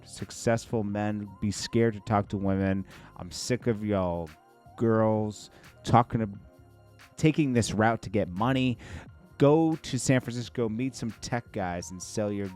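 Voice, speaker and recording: Male gossip host, low register, blunt and slangy opinion delivery, light music bed. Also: a little mellow. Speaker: show host. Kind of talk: casual opinionated gossip commentary.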